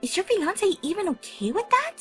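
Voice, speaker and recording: Woman as practical young cartoon mare, hesitant, questioning, high pitch, expressive, music behind. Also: brisk. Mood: doubtful. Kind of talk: fan audio drama acting.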